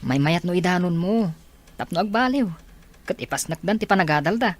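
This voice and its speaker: A man playing a gently persuasive, earnest young male student in an audio drama, with an Ilocano Filipino accent. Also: high voice, animated, brisk, dry recording.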